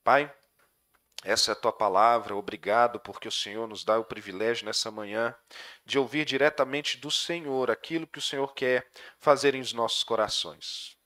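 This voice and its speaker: A man with a Brazilian Portuguese accent preaching a sermon as pastor, earnest, his voice dropping soft at the ends of phrases. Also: medium pitch, normal speed. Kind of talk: sermon with prayer.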